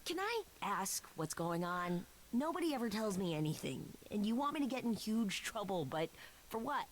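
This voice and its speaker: An actress voicing a reluctant, put-upon young messenger god in a video game, casual and hesitant. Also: female voice, mid-range voice, very animated, normal speed, a little hiss or hum, lightly acted, poor audio. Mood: exasperated.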